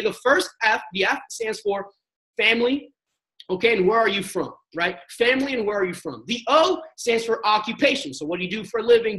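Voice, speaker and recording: A man's voice: high voice, fairly bright tone, rough recording. A young man on a sales panel, giving a motivational training talk in a casual, slangy way and acting out sample chats. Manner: emphatic, coaching delivery. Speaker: network marketing panelist.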